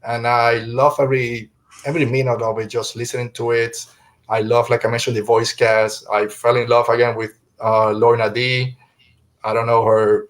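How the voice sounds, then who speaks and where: A man's voice: mid-range voice, little pitch movement, moderate pace, poor audio. A middle-aged man who is a native Spanish speaker, hosting a podcast and chatting casually through a review. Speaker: podcast host.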